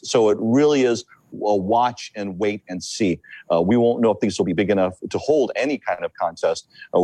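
A man from Hawaii, a meteorologist, explaining the weather and big surf in a halting, repetitive way during a news interview. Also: on the low side, a little mellow, no room sound. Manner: halting, repeats words while explaining.

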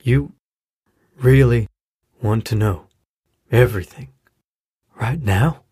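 A man playing a grieving, apologetic middle-aged widowed father with a halting delivery in a naturalistic audio drama. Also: medium pitch, dark tone, slightly rough, dry recording, studio quality. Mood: reluctant, hesitant. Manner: halting, hesitant, sighing delivery. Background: music.

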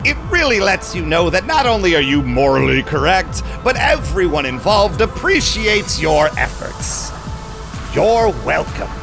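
A shady vampire businessman for an audio drama. His voice is high for a man and swings widely in pitch, measured and commanding, with clear music behind him. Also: sing-song, full character voice. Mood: smug, pleased. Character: smooth, shady vampire businessman who owns a nightclub.

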